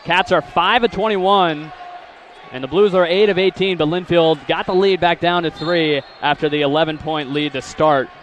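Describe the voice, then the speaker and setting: A man calling basketball play-by-play, with quick calls that jump in volume on big plays and steady runs of statistics. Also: a little high, muffled recording, a little hiss or hum. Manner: rapid calls rising loud on big plays, steady stat rundowns. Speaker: play-by-play announcer.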